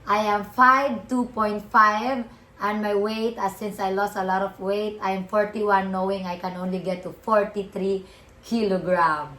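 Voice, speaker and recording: A woman in her twenties from the Philippines talks casually and giggles between phrases, with loosely built sentences. Her voice sits at an ordinary pitch. The room around her is echoey. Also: steady tone, unhurried, bright, forceful tone. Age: 20s. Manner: giggly, non-native phrasing. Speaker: vlogger's partner. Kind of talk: casual to-camera chat.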